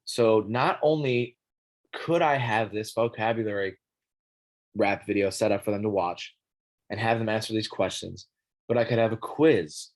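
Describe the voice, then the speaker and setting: Young man with a scratchy, throaty voice. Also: lowish voice. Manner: halting, frequent restarts and throat clearing. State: scratchy voice with coughing. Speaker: student teacher. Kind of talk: informal classroom tech presentation.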